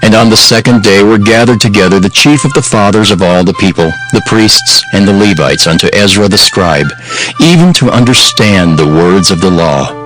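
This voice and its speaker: Low-voiced man narrating scripture. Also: expressive, music in the background, low-quality recording. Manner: measured, formal.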